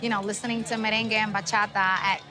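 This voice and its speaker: A woman's voice: mid-range voice, flat delivery, fast. A woman from New York sharing personal memories and leading a bachata dance lesson. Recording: clear music in background.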